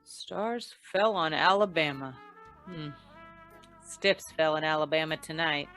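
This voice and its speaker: A woman's voice: deep voice, unhurried, a bit bright, soft background music. A woman playing a hard-boiled, wisecracking insurance investigator in an old-time radio noir, with a dry, clipped delivery. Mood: wry narration.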